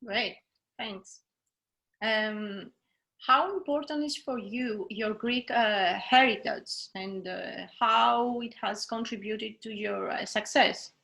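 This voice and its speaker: A woman's voice: on the high side, slow, gravelly, fairly bright tone, poor audio. A young adult woman hosting an interview over a video call, speaking hesitantly with many fillers. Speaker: host, consulate officer.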